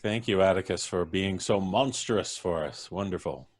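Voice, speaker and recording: A man's voice: sits low, normal speed. A man chatting genially and casually as the host of a live stream. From Canada. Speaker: podcast host.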